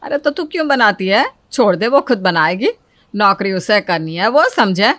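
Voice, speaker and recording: A woman's voice: medium pitch, sing-song, moderate pace, noisy background, close to an everyday voice. A woman voicing a practical, protective middle-aged mother-in-law in a cartoon story, speaking in an emphatic motherly way. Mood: concerned, firm.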